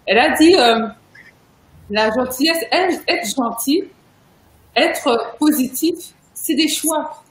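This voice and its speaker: A chatty woman hosts a relaxed interview, speaking with emphasis and a ready laugh. Her voice sits high, and the room around her rings with echo. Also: slow pace. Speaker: interview host. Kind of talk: casual video interview chat.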